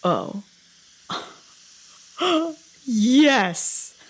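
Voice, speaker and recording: Snarky young troll girl, high swoopy voice, hot-headed and excitable, comedy audio play. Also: drawn-out words, husky, heavily acted. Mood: gleeful, scheming. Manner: sarcastic, excitable, exaggerated. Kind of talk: comedic audio drama acting.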